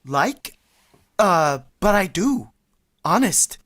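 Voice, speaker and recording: A woman's voice: fairly low pitch, big pitch swings, drawn-out words, a bit bright, heavily acted. A woman playing a timid, tongue-tied young man in a vintage-style radio play. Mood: flustered. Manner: stammering, timid.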